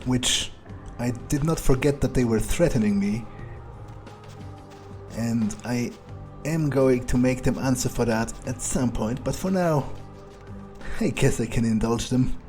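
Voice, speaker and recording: Arrogant, cold-blooded vampire hitman played by a male gamer, mellow and unhurried, thinking aloud, foul-mouthed, faint music behind. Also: mid-range voice, lightly acted. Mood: calculating. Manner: musing, halting, foul-mouthed. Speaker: gamer playing in character. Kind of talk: in-character game playthrough monologue.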